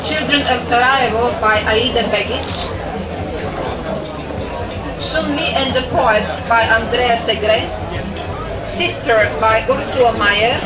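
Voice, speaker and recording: A woman's voice: a little high, slow, long pauses. A woman festival representative making a public announcement, reading out a list to an audience. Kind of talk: public announcement of a film selection. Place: echoey public venue. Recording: echoey, distant mic, very noisy crowd.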